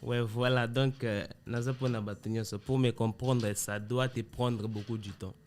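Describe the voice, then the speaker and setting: A man with a Congolese French accent, a comedian answering interview questions in a relaxed, loose way, mixing in Lingala phrases. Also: medium pitch, normal speed. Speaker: comedian guest.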